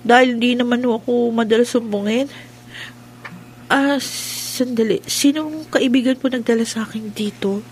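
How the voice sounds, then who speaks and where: A woman's voice: on the high side, moderate pace, darkish tone, a little hiss or hum, close to an everyday voice. A woman playing a frightened young female student in a radio horror drama, crying out in terror. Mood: confused. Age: young adult. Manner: terrified, crying out at times. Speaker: student, Isabela.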